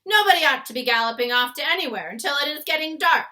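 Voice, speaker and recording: Woman putting on a huge booming bully giant. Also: expressive, quick pace, pushed and bright. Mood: menacing. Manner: mangled folksy grammar, taunting. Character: huge menacing bullying male man-eating giant. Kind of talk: storybook read-aloud character voice.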